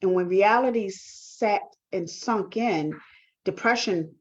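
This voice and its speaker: A woman giving reflective interview answers as a guest, speaking earnestly and haltingly with repeated words. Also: mid-range voice, highs cut off. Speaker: talk show guest, performing artist.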